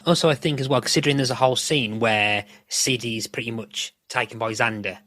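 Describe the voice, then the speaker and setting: A man's voice: mid-range voice, steady tone, fairly quick, fairly bright tone, no echo. A middle-aged man, chatting casually as a podcast co-host in a rambling, self-correcting way. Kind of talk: casual chat about a TV show.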